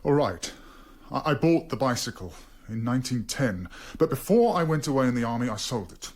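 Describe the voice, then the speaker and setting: A man's voice: medium pitch, very animated, fairly quick. A man playing an anxious, defensive English former soldier under questioning in a radio drama, hesitant and guarded. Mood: anxious confession.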